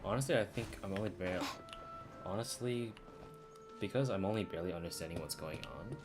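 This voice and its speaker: A man's voice: deep voice, fast talker. A young man giving casual live commentary as he streams a video game. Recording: game music behind voice.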